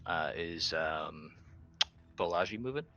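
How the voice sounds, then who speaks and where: A man's voice: low voice, expressive, slow pace, sharp tone, muffled recording, a little hiss or hum. A man talking casually as a player at a tabletop roleplaying game.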